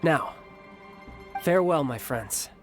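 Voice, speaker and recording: Slightly high male voice, confident young warrior, affable, easygoing game acting, faint music. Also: lively intonation, slow pace. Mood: warm, confident. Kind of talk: video game character acting.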